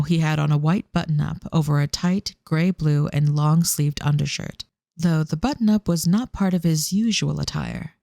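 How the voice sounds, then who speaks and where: A man's voice: a little high, creaky, dark tone, dry recording, studio quality. A man reading scripted narration for an audio drama as its storyteller.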